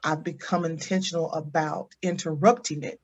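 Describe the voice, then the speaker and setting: A woman's voice: low-pitched, fairly even intonation, moderate pace, highs cut off, slight background noise. A woman speaking as a guest about her faith, intense and self-questioning. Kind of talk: reflective faith talk.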